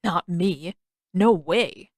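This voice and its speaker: A woman's voice: fairly low pitch, extremely slow, a little mellow, no echo. A woman voicing a casual, awkward teenage boy in a story, speaking in a hesitant, offhand way.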